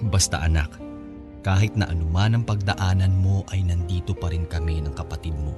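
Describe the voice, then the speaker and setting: Man acting a low-voiced, campy Filipino father. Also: steady tone, moderate pace, loud music behind the voice, close to an everyday voice. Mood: consoling, tender. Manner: campy, affectionate, consoling. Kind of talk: radio drama acting.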